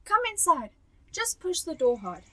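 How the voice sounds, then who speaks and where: High-pitched, bossy elderly South African wife acted by a young woman. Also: sing-song, unhurried, sharp tone, exaggerated voice. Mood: bustling, welcoming. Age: teenager. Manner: insistent and chatty. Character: bossy, sociable, house-proud elderly wife. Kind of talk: amateur radio drama acting.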